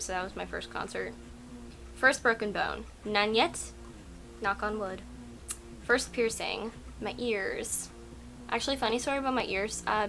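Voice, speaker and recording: A woman's voice: mid-range voice, vocal fry, fairly bright tone. A young adult woman vlogging casually from home. She is a native Russian speaker who rambles through her answers with plenty of fillers. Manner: rambling, chatty, lots of "like" and "um". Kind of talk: casual chat answering tag questions. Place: at home at night.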